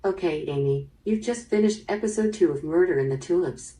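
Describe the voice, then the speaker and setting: Female interactive story host, low dark voice, scripted questioning, distant small-speaker playback. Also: expressive, brisk. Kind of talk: scripted narration, quiz hosting.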